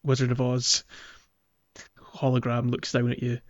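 A man's voice: medium pitch, monotone, a little slow, dull audio. Man chatting casually, explaining in stops and starts. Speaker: podcast co-host. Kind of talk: casual video game playthrough chat.

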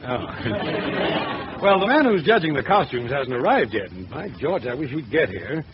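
A man's voice: mid-range voice, sing-song, measured pace. A man acting a flustered, affable boss in a hesitant, fussy way in 1940s radio comedy. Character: flustered, affable middle-aged male boss. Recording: noisy, dull old radio recording.

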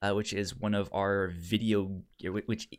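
Male podcast co-host, slightly low-pitched, with a stutter that repeats syllables, casual discussion style. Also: level tone, measured pace, clear, resonant tone.

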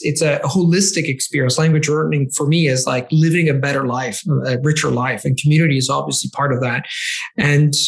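Man, higher voice, rambling answers, language coach. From Italy. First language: Italian. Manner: rambling, many restarts and fillers. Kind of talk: interview answers.

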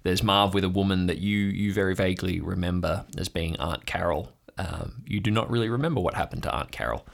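A man narrating an improvised audio drama as game master, descriptive and halting as he makes it up. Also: low voice, creaky.

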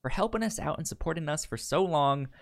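A man hosting a podcast in casual review chat, speaking haltingly with stammered, repeated syllables. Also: a little high, expressive, gravelly. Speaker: podcast host.

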